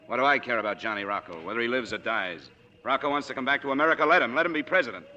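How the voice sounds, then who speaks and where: An actor playing a weary, disillusioned war veteran, dry and articulate, in a 1940s radio drama. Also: male voice, mid-range voice, lively intonation, rapid-fire, sharp tone. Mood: bitter resignation. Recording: dull old 1940s radio recording.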